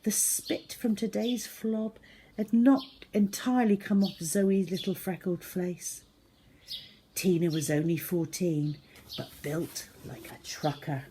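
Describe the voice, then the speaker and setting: A woman reading a children's book aloud as a storyteller, in an expressive, theatrical manner. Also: lowish voice, slow pace, slight background noise. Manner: animated, theatrical, with acted-out sound effects.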